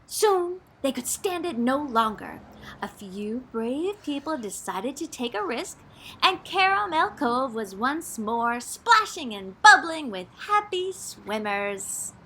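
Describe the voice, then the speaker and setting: Female storyteller for children's picture book, high bright voice, theatrical and suspenseful, noisy recording. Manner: theatrical, expressive, drawn-out suspense. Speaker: drama teacher reading a children's book aloud. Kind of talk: dramatic, animated children's storytelling.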